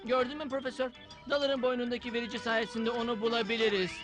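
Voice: medium pitch, little pitch movement, fast talker, natural voice. An actor voicing an earnest, determined boy hero in a dubbed cartoon, with an eager manner. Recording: music behind the voice.

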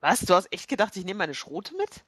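A woman talks casually at a role-playing table. Her voice is mid-pitched and her manner is blunt and informal. The sound is dry, with no room echo. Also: big pitch swings, a little fast, fairly bright tone, breathy edge. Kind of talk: casual game-table chat.

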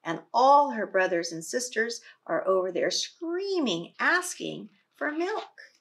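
A middle-aged woman reading a picture book aloud expressively and explaining it to young children as a teacher. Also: a little high. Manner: slow, expressive, explanatory, dramatic stresses.

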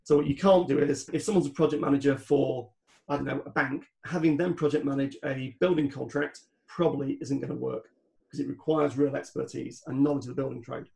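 Man with a creaky voice, explaining methodically. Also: medium pitch, steady tone, brisk, darkish tone. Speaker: guest expert on home renovation project management. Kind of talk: explanatory podcast talk.

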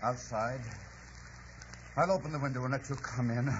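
A man's voice: medium pitch, fairly even intonation, normal speed, a bit bright, a little hiss or hum, close to an everyday voice. An actor playing a delirious, dying man who yearns for his dead love, theatrical and pleading in a radio drama. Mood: feverish. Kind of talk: 1940s radio drama acting. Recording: muffled old radio broadcast with music.

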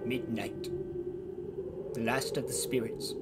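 An actor playing a humbled, frightened old miser, earnest and hesitant, in a Victorian radio drama. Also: male voice, medium pitch, steady tone, takes it slowly, lightly acted. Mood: fearful, humbled. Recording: noisy amateur radio drama recording.

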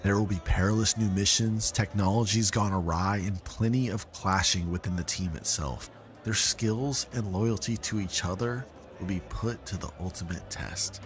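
Male actor as podcast creator, low voice, earnest, explanatory, audio drama, music behind. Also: normal speed, close to an everyday voice. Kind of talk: scripted audio drama dialogue. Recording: music under the voice.

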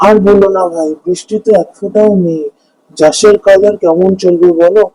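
Man voicing humble cartoon farmer, high male voice, mellow, earnest, coaxing, hard-working and kind. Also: fairly even intonation, normal speed, no echo, close to an everyday voice, low-quality recording. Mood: weary, worried. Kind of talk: Bengali animated fairy-tale dialogue.

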